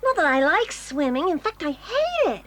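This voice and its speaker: A woman's voice: extremely high pitch, very animated, moderate pace, fairly bright tone, full character voice. Vain, drawling American schoolgirl. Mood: breezy, petulant. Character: rich, vain, good-tempered American schoolgirl. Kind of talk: children's audio drama acting.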